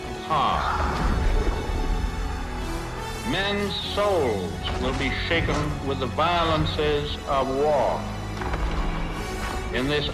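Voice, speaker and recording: A man voicing a solemn, patrician wartime leader giving a stately, measured 1940s radio address. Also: a little high, lively intonation, over loud music. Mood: solemn, resolute.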